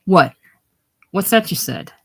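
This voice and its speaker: A reader voicing a gruff old farmer who mocks and jeers loudly. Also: mid-range voice, animated, takes it slowly, full character voice. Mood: jeering. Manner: loud, teasing, contemptuous. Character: gruff old Irish farmer, mocking and jeering.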